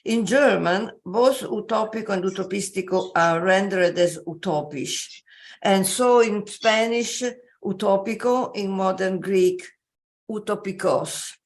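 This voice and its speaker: Slow, halting woman lecturing. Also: mid-range voice. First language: Italian. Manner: slow, halting, many pauses and fillers. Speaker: invited speaker. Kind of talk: academic lecture. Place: joining remotely.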